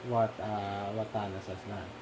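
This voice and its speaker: A man with a Filipino accent leading a casual film discussion, often pausing to search for words. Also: on the low side, little pitch movement. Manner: halting, searching for words, mixes in Tagalog fillers. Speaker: discussion leader. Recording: noticeable background noise.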